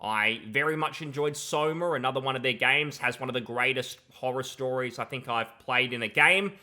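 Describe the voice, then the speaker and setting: Bright-voiced young man, sardonic commentator. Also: medium pitch, normal speed. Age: young adult. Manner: sardonic, dismissive. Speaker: video commentator. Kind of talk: casual opinionated reaction commentary. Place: small plain room indoors. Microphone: close boom-arm mic, headset on.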